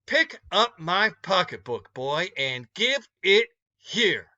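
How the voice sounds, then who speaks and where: A big, no-nonsense woman from a storybook, acted out by a man reading aloud, commanding and folksy as she scolds. The delivery is bright and forceful, slightly high for a male reader, in a dry, close sound. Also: big pitch swings, takes it slowly, no room sound, dull audio, exaggerated voice. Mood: stern, scolding. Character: large stern older woman scolding a young thief.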